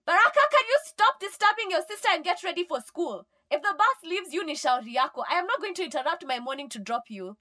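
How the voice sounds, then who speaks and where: Storybook mother, played by a female narrator: exasperated, scolding, high-pitched shouting. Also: lively intonation, quick pace, hardly any pauses, full character voice. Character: exasperated shouting mother hurrying her children.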